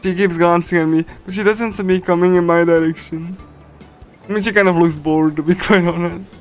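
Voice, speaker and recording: Male streamer, slightly high voice, giggly and hesitant casual readthrough over game music. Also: fairly even intonation. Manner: halting, repetitive, giggly. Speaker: livestreamer reading a visual novel.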